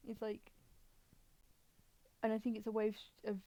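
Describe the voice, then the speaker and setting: A woman's voice: mid-range voice, monotone, moderate pace, mellow, low-quality recording. A woman hosting a live stream with casual, rambling, explanatory commentary.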